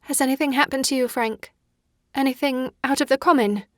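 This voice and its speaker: A woman's voice: sits high, brisk, dry recording, studio quality, lightly acted. An actress playing a fragile, anxious young Victorian woman, fretful and intense with foreboding, in a theatrical audiobook drama.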